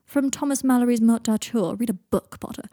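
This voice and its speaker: Woman as a haughty young man, theatrical, easily flustered, raised lively pitch, soft husky edge, audiobook dialogue. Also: a little fast, no room sound, clean studio sound.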